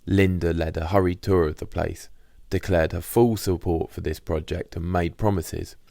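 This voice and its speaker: A man's voice: low voice, fairly even intonation, normal speed, creaky, warm tone, dry recording, clean studio sound. A man narrating a first-person diary as a scripted audiobook.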